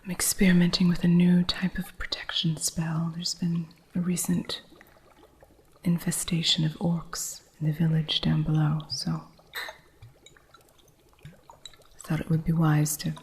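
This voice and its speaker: A woman playing a female witch shopkeeper who teaches an apprentice, in a soft whisper with dry humor, for an ASMR roleplay. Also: deep voice, completely flat delivery, measured pace, pauses often, slight background noise. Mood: hushed.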